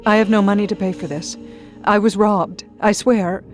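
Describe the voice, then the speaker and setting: A female narrator acts out a desperate woman in dramatic audio fiction. Her voice is pitched up and emphatic. She sounds flustered and halting, nervously eager and pleading. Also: fairly even intonation, faint music behind, lightly acted.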